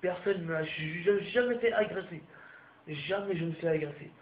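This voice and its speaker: A man's voice: high-pitched, moderate pace. A young man from France ranting straight to his viewers, heated and repetitive, with crude slang. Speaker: vlogger. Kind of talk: ranting monologue to camera. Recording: roomy, muffled home recording with faint background hiss.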